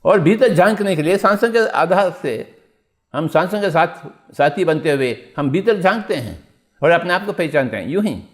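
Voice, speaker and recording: A man's voice: a little high, expressive, normal speed. A man teaching meditation in an exhorting spiritual discourse, repeating his phrases and posing rhetorical questions.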